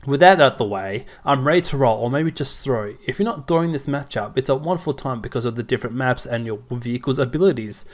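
A man co-hosting a podcast in casual banter, sometimes reading text aloud, with a halting, amused and exasperated manner. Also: medium pitch. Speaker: podcast co-host.

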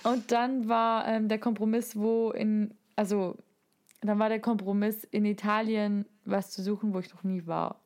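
A woman's voice: mid-range voice, little pitch movement, unhurried, darkish tone. A woman telling anecdotes in a casual, enthusiastic, playful way, using slangy words as a podcast co-host.

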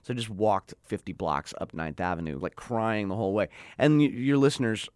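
A man's voice: on the low side, a little fast, darkish tone, dry recording, studio quality. A middle-aged man telling a story in a casual interview, chatty and full of "like", acting out what people said. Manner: chatty, lots of "like", acts out quoted voices. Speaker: guest.

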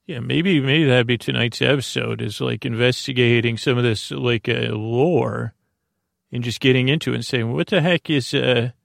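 A man hosting a bedtime podcast in a creaky, soothing voice, rambling haltingly and drifting into tangents. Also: mid-range voice, no echo, clean studio sound. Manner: meandering, tangent-prone, halting; lulling, soothing. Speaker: podcast host.

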